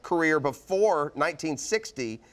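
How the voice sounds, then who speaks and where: A man's voice: a little high, animated, normal speed, fairly bright tone. A man hosting a game show with upbeat banter.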